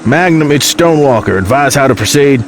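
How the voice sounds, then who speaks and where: An actor playing a wry, cool-headed long-haul trucker who talks in folksy slang. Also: male voice, mid-range voice, expressive, brisk, natural voice. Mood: businesslike radio talk. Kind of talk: naturalistic audio drama dialogue. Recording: noisy truck cab with engine. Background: vehicle engine.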